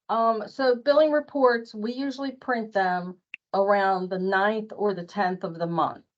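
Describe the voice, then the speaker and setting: A woman working in billing, plainly explaining office procedure in a training session over muffled meeting audio. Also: mid-range voice. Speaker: billing administrator giving training.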